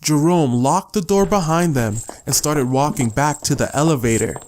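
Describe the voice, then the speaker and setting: A man narrates an audiobook story in scripted prose. His voice is pitched a little high for a man. He tells the tale as the narrator, apart from the characters. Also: normal speed, a little mellow, a little hiss or hum.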